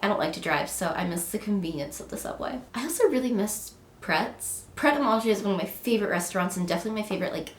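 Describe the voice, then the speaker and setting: A young woman talks to her camera in a bubbly, chatty way, peppering her speech with "um" and "like". She has a cold, so she sounds a little stuffy, and her mid-pitched voice rings in an echoey room. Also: lively intonation, quick pace, few pauses. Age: young adult. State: nasal from a cold. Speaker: vlogger. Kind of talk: casual vlog chat.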